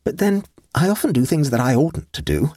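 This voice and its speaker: A man's voice: on the high side, wildly swooping pitch, brisk, warm tone, slightly rough, dry recording, studio quality, voice pushed up, exaggerated voice. A man voicing a teasing sixteen-year-old girl. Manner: airy, teasing, conspiratorial.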